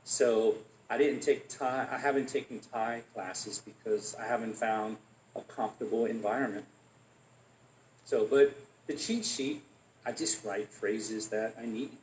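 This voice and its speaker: An adult man as guest speaker, answering questions and telling relaxed anecdotes in a conversational Q&A. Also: mid-range voice, unhurried. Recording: echoey room, faint noise.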